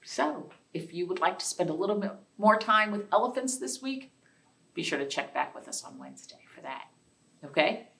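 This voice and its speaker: A woman with a fairly low voice leads a storytime for young children. She reads aloud and explains things in simple words, speaking to the kids directly with questions and chatty asides. She sounds some distance from the microphone. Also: big pitch swings, normal speed, fairly bright tone. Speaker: library storytime host. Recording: distant, off-mic voice.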